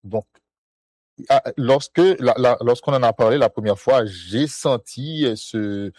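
A man hosting a discussion, speaking in a measured way and asking probing questions. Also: mid-range voice, a little mellow, no echo.

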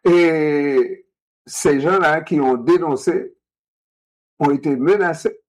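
A man in a discussion, talking in an animated way, repeating himself and asking rhetorical questions. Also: fairly high pitch, slow pace, poor audio.

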